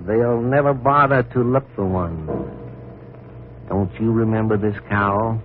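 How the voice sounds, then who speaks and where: An actor playing a resentful, menacing and panicky farmhand in a melodramatic old-time radio thriller, on a muffled vintage recording. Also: male voice, on the low side, a little slow, faint music behind, close to an everyday voice. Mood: bitter, menacing. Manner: melodramatic, intense.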